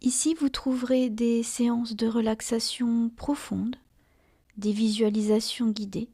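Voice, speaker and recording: A woman guiding a relaxation meditation in a soft, breathy near-whisper, calmly and soothingly, in short phrases with long pauses. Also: a little high. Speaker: yoga nidra guide.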